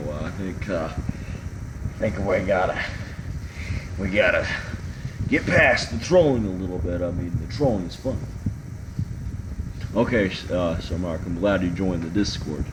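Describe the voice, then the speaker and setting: A man's voice: low voice, lively intonation, unhurried, pauses often. A man hosting a casual livestream chat, joking around and rambling with lots of ums and restarts. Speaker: livestream host reading chat. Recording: clear background hum.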